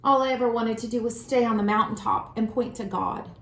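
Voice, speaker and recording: A woman's voice: on the high side, fairly quick, creaky, fairly bright tone, a little hiss or hum. A woman voicing a devout young female tree in a storybook, earnest and resolute. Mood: confused, sad. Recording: roomy, echoing room sound.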